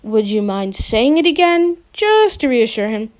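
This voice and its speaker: A woman reads as an awkward teenage boy, bouncing between a grand, hammy radio-host voice and a meek, unsure mumble. The voice sits high and lively, swooping as he tries to sound important. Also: a little slow.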